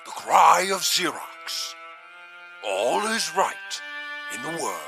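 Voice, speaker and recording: A man playing a solemn, folksy small-town storyteller in a comedy audio drama, speaking with mock gravity and dramatic pauses. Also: fairly high pitch, big pitch swings, creaky, over music, exaggerated voice.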